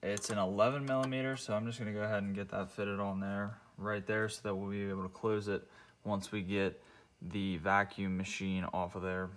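Low-voiced young man narrating garage work. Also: a little fast. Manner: relaxed, thinking aloud, talking through each step. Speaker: car DIY channel host. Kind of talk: casual how-to narration while working. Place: home garage, concrete floor.

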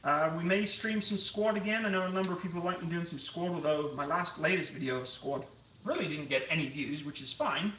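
High-voiced man rambling while cooking. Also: brisk, sharp tone, slight background noise. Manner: chatty, rambling, self-deprecating. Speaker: live streamer cooking and chatting. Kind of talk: casual live stream chat. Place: home kitchen. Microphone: camera on tripod across the kitchen. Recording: roomy, distant kitchen sound.

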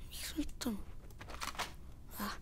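A woman with a Finnish accent, her voice hoarse from yelling, giving halting, casual commentary while playing a shooter game. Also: noisy background. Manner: halting, searching for words, many fillers. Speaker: gaming channel player. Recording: home mic with game gunfire behind.

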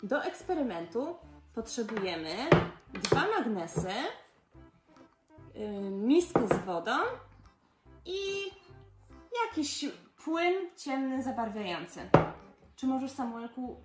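A woman's voice: on the high side, sing-song, takes it slowly, long pauses. A woman in her twenties guiding small children through a demonstration with encouraging, simple instructions and questions. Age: 20s. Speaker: presenter of a children's experiment. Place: living room indoors. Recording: echoey living room, music behind.

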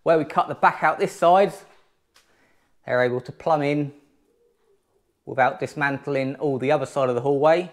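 An English man in his thirties speaks with easy enthusiasm, his voice sitting a little high. He sounds like he is walking a camera through the bare rooms of a house he is renovating, picked up on a small action camera. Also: normal speed, pauses often. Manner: enthusiastic, upbeat. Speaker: home renovation vlogger. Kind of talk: casual walkthrough narration. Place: empty room in a house under renovation.

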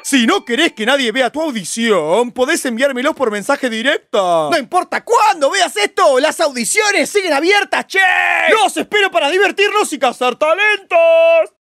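A man voicing a manic, bombastic cartoon ringmaster with an Argentine accent, in an animated fan-dub announcement. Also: extremely high pitch, moderate pace, no echo, studio quality, heavily acted. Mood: announcing. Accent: Argentine Rioplatense. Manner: bombastic, shouty showman delivery. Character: manic male cartoon ringmaster with a toothy head.

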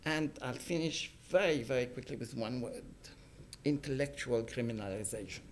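A man's voice: mid-range voice, moderate pace. Middle-aged man, academic, emphatic gesturing delivery, mid-range voice, faint background noise, small room talk. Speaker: academic speaker. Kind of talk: public talk, speaking freely. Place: small gallery room with an audience, indoors. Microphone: stand mic, filmed from the audience.

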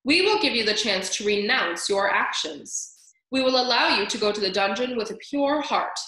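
A woman voicing a pompous, stern male head mouse who judges a trial, speaking in loud, slow, formal pronouncements. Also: a little high, expressive. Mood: commanding. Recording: echoey room.

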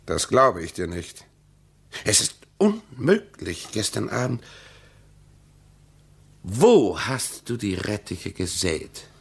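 Radio play: estranged husband, evasive dreamer, very raspy and gravelly, measured formal delivery. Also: male voice, fairly low pitch, very animated, full character voice. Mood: bewildered, disbelieving. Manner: measured, deliberate, formal phrasing. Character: estranged husband, evasive, dreamily insistent about an underground palace. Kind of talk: radio drama acting.

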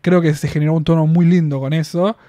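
A man in his twenties with an Argentine Spanish accent, talking through a casual spoken review in a small room on a boom mic, chatty and quick to laugh, with drawn-out fillers. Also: steady tone, mellow, no room sound. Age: 20s. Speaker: reaction video host. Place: small room in front of packed collectible shelves. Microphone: studio mic on boom arm, headphones on.

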